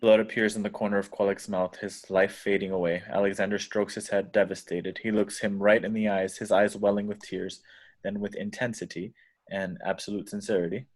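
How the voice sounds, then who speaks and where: A man narrates the action of a screenplay. His voice is on the low side, and he reads stage directions as scripted narration for a table read. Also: flat delivery, a little fast.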